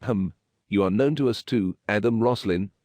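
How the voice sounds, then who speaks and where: A grave, wise alien holy leader for a space game, acted by a man. His low voice is ceremonious and deliberate, in a close, dry recording. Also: a little mellow, dry recording, close to an everyday voice. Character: dignified alien high priest, grave, wise and mystical.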